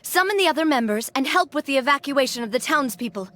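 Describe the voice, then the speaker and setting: A woman's voice: sits high, lively intonation, fast talker, fairly bright tone. An actress playing a resolute young female leader in an anime video game, speaking with commanding determination.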